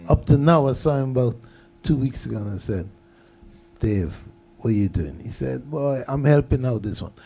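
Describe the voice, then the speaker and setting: An older man, a guest on a radio show, telling unhurried stories about how he started out. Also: mid-range voice, creaky. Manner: relaxed, unhurried storytelling. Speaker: guest producer. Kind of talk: casual radio interview. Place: radio studio.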